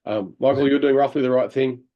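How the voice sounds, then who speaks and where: A man coaching amateur hockey players over a call, talking through game footage in an animated way and laughing often. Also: medium pitch, a little fast, dull audio. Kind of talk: video review of game footage. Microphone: video call.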